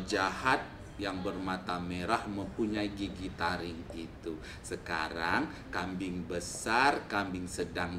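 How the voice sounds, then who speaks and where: Low-voiced man storyteller, echoey room. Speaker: children's storyteller. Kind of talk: oral folktale storytelling to children. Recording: echoey room with faint noise.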